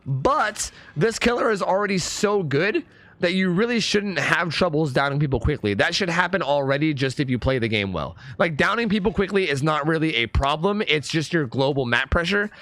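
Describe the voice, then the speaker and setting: A young man with a somewhat high voice, hoarse because he has lost part of it, talks in a chatty, filler-heavy run about a game. The recording is dry and close, with no room sound around him. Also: expressive, moderate pace, fairly bright tone, dry recording. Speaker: gaming YouTuber and streamer. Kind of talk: casual gameplay commentary.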